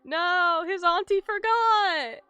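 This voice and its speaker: A woman livestreaming a game with casual, expressive commentary full of swearing and exaggerated dismay. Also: extremely high pitch, slow, no room sound. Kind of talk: casual gaming commentary with read-aloud game text. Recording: game music faintly behind. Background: video game music.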